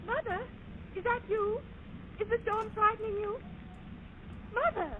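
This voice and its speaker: A woman's voice: very high voice, a little slow. An actress playing a frightened young lady in an old radio drama, calling out anxiously. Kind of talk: 1930s radio drama acting. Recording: distant, tinny, very noisy old radio recording.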